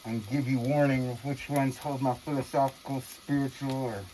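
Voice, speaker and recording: Female vlogger, low register, reflective and earnest, salty slang, sizzling noise behind the voice. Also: steady tone, moderate pace, mellow, rough recording. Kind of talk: casual philosophical monologue to viewers.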